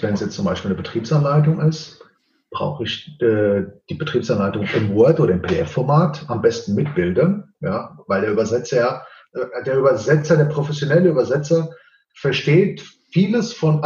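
A man's voice: medium pitch, a little mellow. A Portuguese man speaking German with a Portuguese accent, a professional translator explaining his work in an interview and often tagging sentences with "ja". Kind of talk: interview answers. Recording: roomy, slightly dull sound.

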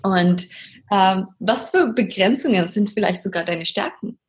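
A woman from Sweden, a coach and guest on a podcast, speaking with many pauses, fillers and restarts. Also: medium pitch. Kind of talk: podcast interview.

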